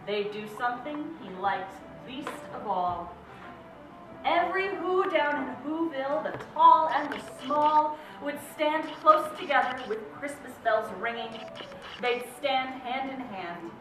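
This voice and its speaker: A woman reading a storybook aloud to children in a dramatic, emphatic way, drawing out key words. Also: sits high. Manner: theatrical, emphatic repetition and drawn-out words. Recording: echoey room, distant mic, music behind.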